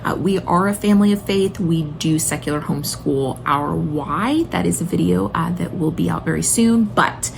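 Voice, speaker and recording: A woman vlogger chats in a casual, gushing way, full of enthusiasm. Her voice sits fairly low and often drops into vocal fry. There is faint noise behind her. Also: normal speed, few pauses. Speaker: homeschooling video blogger and mother. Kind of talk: casual chatty book recommendation vlog.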